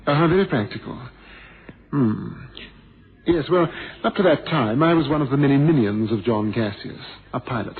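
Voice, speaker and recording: An actor playing a blind former pilot, theatrical and bitterly witty, with a wry, wordy, ironic manner, in a radio drama. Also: male voice, medium pitch, animated, moderate pace, husky. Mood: wry, teasing. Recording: noisy, thin phone-like old radio recording.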